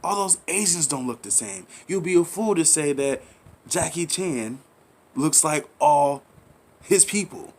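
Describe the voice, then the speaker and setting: A man's voice: a little high, expressive, a little slow, darkish tone. A man giving a rambling, preachy monologue, repeating himself, asking rhetorical questions and urging listeners in casual slang. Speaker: commentary channel host. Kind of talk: impassioned monologue.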